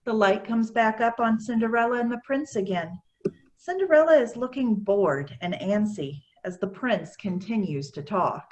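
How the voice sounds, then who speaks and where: Slightly high-pitched female stage-direction reader. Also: animated, moderate pace. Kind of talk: scripted narration.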